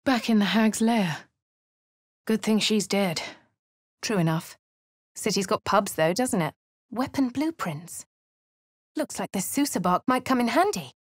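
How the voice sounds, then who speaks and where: A woman with a posh English accent voicing a wry young female adventurer in a video game, crisp and clipped. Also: mid-range voice, lively intonation, a little slow, pauses often, no room sound. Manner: crisp, clipped, dryly sardonic. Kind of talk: video game character voice lines. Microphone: close studio mic. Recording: dry studio recording.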